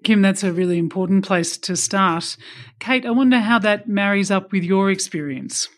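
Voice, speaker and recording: A woman's voice: medium pitch, moderate pace, no echo, clean studio sound. A woman hosting a panel discussion in a formal moderating style. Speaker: panel host.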